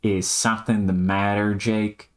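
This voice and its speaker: A man voicing an aloof, pedantic artificial intelligence in amateur scripted dialogue, deadpan and brusque, with wordy, technical phrasing. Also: on the low side, unhurried, close to an everyday voice.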